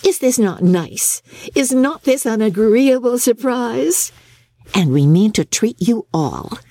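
A woman's voice: fairly high pitch, animated, slow, warm tone, no echo, pitched above the performer's own voice, exaggerated voice. Woman voicing a giddy teenage girl, swooping and gushing. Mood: careless, chatty. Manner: gushing, exclamatory, chattering.

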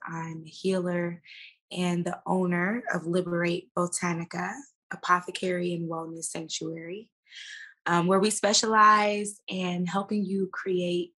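A woman's voice: on the low side, a bit bright, breathy edge. A woman speaking as a podcast guest in casual chat, with an informal, slangy way of talking. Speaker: podcast guest, actress and business owner.

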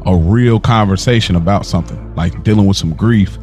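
A young man co-hosting a podcast who talks in a relaxed, teasing way and laughs easily. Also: low voice, a little fast, soft background music. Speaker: podcast co-host. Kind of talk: casual podcast chat.

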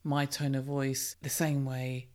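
A middle-aged British woman with a deep, strong, commanding voice, speaking candidly and emphatically to listeners in a personal development podcast monologue. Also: little pitch movement, slow pace, darkish tone. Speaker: podcast host.

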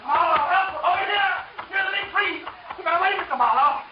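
An actor playing a panicked motel keeper shouting frantically in a 1940s radio drama. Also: male voice, extremely high pitch, fairly quick, roomy sound, far from the mic. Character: panicked male motel keeper after murder. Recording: noisy dull old radio broadcast.

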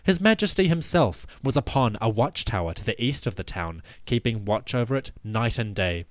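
A man hosting and narrating a scripted history piece, with measured, emphatic storytelling. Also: medium pitch, expressive, no room sound. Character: commanding victorious pharaoh addressing his army. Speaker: podcast host and narrator.

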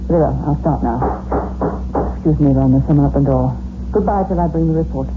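An actress playing a composed, dutiful, calculating secret agent in a formal, earnest 1960s radio suspense style. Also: female voice, low-pitched, fast talker, close to an everyday voice. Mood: resolute. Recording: muffled old radio recording with music.

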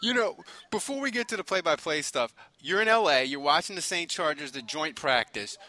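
A man hosting a casual sports interview podcast, chatty and excitable in his delivery. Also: a little high, fairly quick, no room sound. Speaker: podcast host.

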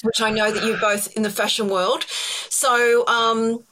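A woman's voice: a little high, little pitch movement, measured pace, pushed and bright, poor audio. A middle-aged woman with an Australian accent, talking casually as an interview guest with many ums and restarts. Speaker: podcast guest, colour psychology expert.

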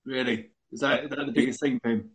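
A man's voice: medium pitch, steady tone, fast talker, fairly bright tone. A man who is a guest on a fan podcast, chatting in a casual, good-humoured way. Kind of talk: casual chat.

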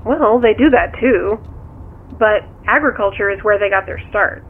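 A woman's voice: medium pitch, normal speed, husky. A woman acting in an audio drama as a level-headed, inquisitive confidante, talking conversationally through a phone filter. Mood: curious. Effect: phone call filter. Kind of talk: naturalistic audio drama dialogue. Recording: tinny phone line, music bed.

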